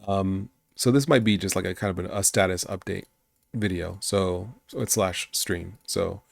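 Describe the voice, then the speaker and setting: A young man, raspy from just getting over being sick, chats casually and thinks aloud while working on his game on a live stream. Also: low voice, moderate pace, no room sound. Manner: laid-back, rambling, thinking aloud. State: raspy voice, just recovering from illness. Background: faint background music and keyboard typing.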